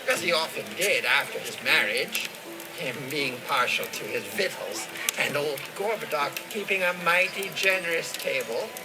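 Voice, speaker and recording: An actor playing a talkative, folksy old hobbit gardener in an audio play, rambling and gossipy. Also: male voice, a little high, poor audio. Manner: rambling, gossipy, rustic phrasing. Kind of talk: dramatized audio play dialogue.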